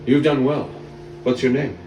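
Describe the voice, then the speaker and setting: A man's voice: mid-range voice, little pitch movement, normal speed, a little mellow, lo-fi sound, natural voice. Man voicing composed, authoritative master, echoey. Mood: approving, curious. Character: composed, appraising master, captain of the guard. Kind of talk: animated film voice acting. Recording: echoey, distant film audio, faint music.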